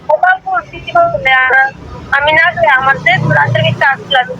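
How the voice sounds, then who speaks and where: A woman's voice: high-pitched, huge pitch swings, a little fast, lo-fi sound, a crowd in the background, background noise. A woman with an eastern Bengali dialect in casual domestic talk on a recorded call. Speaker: wife on a call with her husband. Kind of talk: casual domestic phone chat, arguing.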